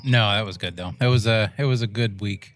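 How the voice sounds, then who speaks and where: A man's voice: on the low side, a little fast, a little hiss or hum. A man co-hosting a podcast, chatting casually and in a laid-back way, often trailing off mid-thought. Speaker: podcast co-host. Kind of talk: casual chat. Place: outdoor flying event.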